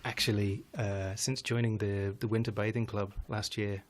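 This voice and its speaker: A man's voice: lowish voice, flat delivery, moderate pace. A man speaking as a guest on a live show, chatting casually, often restarting and trailing off, laughing now and then. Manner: rambling, self-correcting, laughs often. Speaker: guest musician. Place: home studio in Denmark.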